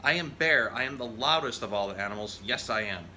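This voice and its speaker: A huge, proud bear from a children's storybook, acted out by a man reading aloud. He brags stubbornly in emphatic, chanting declarations. The voice is bright and forceful, with slight background noise. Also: mid-range voice, expressive, a little fast, poor audio. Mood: boastful.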